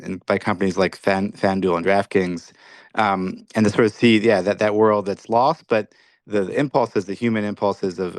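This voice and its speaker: A man's voice: sits low, no room sound. A man who grew up in Belgium, speaking as an author guest in a podcast interview, reflective and full of restarts.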